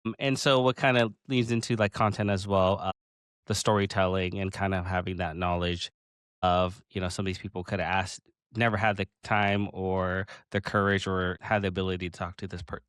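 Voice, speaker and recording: A man in his late thirties hosting a casual interview, talking in halting bursts with many restarts. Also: deep voice, clear, resonant tone, no room sound, studio quality. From Pacific Northwest of the US. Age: 30s. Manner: halting, restarts often, excited laughs. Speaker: podcast host.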